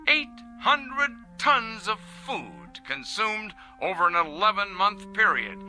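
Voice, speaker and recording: A self-satisfied factory boss in a radio play. His voice is strikingly bright and a little high, with lively swings in pitch. He pitches like a showy salesman, pausing grandly and pompously as he addresses his shareholders. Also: exaggerated voice, poor audio. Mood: smug, scornful. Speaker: company head addressing shareholders. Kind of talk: radio drama acting as a corporate video presentation.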